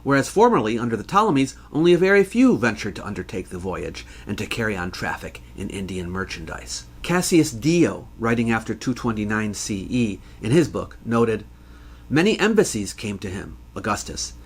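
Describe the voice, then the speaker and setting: A man hosting an educational program, reading scripted narration in a measured, scholarly way. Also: on the low side, very animated, husky. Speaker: history educator and host.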